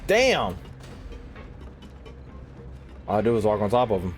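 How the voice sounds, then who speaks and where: A man's voice: on the low side, huge pitch swings, fast, soft background music. A man doing casual, slangy gameplay commentary as a streamer, exclaiming and reacting aloud. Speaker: gaming streamer.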